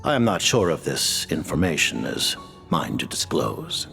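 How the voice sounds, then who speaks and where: Stern, formal commander; very low and gravelly, wide swings, soft score behind. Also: male voice, sing-song, normal speed, heavily acted. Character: stern, formal highest-ranking male commander. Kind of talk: video game voice acting. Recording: game audio with music.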